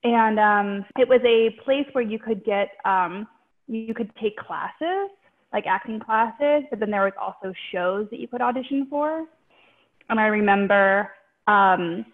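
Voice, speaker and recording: A woman recalls her start in theater, stopping often for ums and likes. Her mid-pitched voice comes through thin and tinny, like a phone call. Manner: hesitant, many ums and likes. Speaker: theater director. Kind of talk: casual storytelling.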